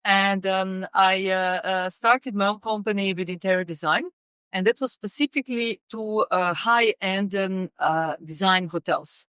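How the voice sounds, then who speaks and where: Woman, smooth and halting. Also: mid-range voice, fairly bright tone, dry recording. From Serbia. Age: middle-aged. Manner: halting, many fillers and repeated words. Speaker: guest, company executive. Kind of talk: casual interview conversation.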